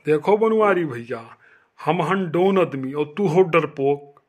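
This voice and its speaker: A man's voice: fairly high pitch, slow pace, darkish tone. A man voicing a sulky, cocky drunken villager who fancies himself a don, swaggering defiantly in a comic cartoon with an Awadhi accent.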